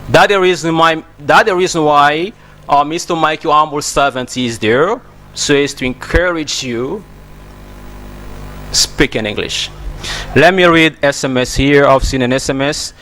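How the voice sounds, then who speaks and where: A man's voice: a little high, unhurried, bright, forceful tone, a little hiss or hum. A man from Congo, hosting a TV English lesson in an emphatic, encouraging way that repeats words. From Democratic Republic of Congo. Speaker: English teacher and TV host. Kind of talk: spoken English lesson to TV viewers.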